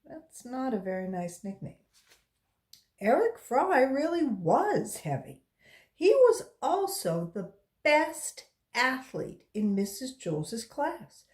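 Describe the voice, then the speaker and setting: Animated, unhurried woman reading a children's story. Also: high voice. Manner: deliberate, expressive phrasing for children. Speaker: volunteer children's story reader. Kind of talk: read-aloud storybook narration with brief asides.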